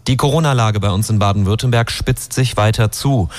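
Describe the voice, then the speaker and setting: A man's voice: fairly low pitch, fairly quick. A man reading a news bulletin on the radio as the station's newsreader.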